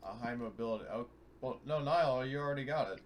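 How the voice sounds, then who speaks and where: A man's voice: mid-range voice, fairly quick. Man chatting casually, noisy recording. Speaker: player in a tabletop game. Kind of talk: casual chat. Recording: noisy audio, clear background noise.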